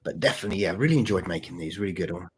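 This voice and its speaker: Husky, low-voiced man chatting casually. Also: expressive. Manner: halting, repeats phrases. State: mild sore throat, voice deeper than usual. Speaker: channel host and story writer. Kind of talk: casual livestream chat with viewers.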